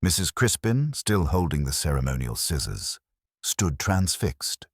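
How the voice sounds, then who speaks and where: Very deep-voiced male storyteller. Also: sing-song, dry recording. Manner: measured, literary storytelling with dry irony. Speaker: audiobook narrator. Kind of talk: scripted narration of a mystery story.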